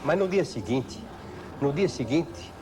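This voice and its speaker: A man's voice: fairly high pitch, lively intonation, a little slow. A man with a Brazilian accent reminiscing candidly, blunt and emphatic, repeating phrases for weight. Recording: noisy old TV broadcast tape.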